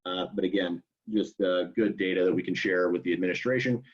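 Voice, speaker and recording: A man giving an informal work update on a conference call, speaking in a halting, hedging way. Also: low-pitched. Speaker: association staffer giving a report. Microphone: conference call line. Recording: muffled conference call audio.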